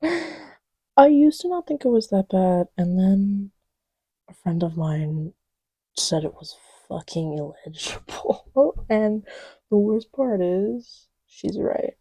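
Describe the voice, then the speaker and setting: A woman playing a playful girlfriend in an intimate ASMR roleplay, rambling casually and giggly, often dropping to soft murmurs close to the microphone. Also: mid-range voice, lively intonation, takes it slowly, breathy edge, no echo, heavily acted. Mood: absorbed, chatty. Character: giggly, playful girlfriend testing markers on boyfriend's lap.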